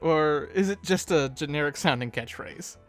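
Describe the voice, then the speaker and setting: A man co-hosting a chatty fan commentary on an animated episode, bantering in an animated way. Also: fairly high pitch, moderate pace, soft background music. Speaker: co-host of fan commentary video.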